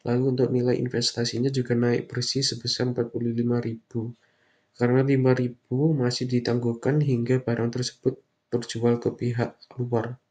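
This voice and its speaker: A young man giving an explanatory slide presentation in a stilted, halting, read-out manner. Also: mid-range voice, monotone, a little mellow. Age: young adult. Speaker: university student presenter.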